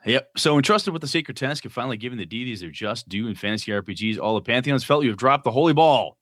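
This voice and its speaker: A man's voice: medium pitch, expressive, fast, a bit bright, no echo, clean studio sound. A man co-hosting a casual gaming podcast, reading listener letters aloud with jokey asides.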